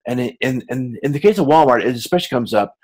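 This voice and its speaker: Guy doing a college slide lecture, average pitch, informal and kinda halting, keeps restarting and correcting himself. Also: animated, a little mellow. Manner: informal, halting delivery with repeated words and self-corrections. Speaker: university lecturer.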